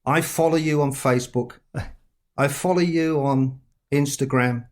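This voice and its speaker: A middle-aged man with a London accent talks over a video call at an ordinary, middle pitch. He is chatty and teasing, often laughing between his words. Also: fairly even intonation, measured pace. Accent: London English. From England. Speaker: author guest. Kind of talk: casual podcast chat.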